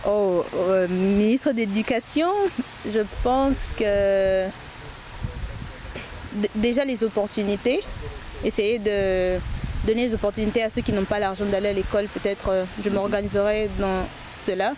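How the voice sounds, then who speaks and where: A woman's voice: medium pitch, steady tone, measured pace, darkish tone. A young woman from Kinshasa with a Congolese French accent, answering questions hesitantly with many fillers. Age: young adult. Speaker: student interviewee. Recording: noisy outdoor recording with wind.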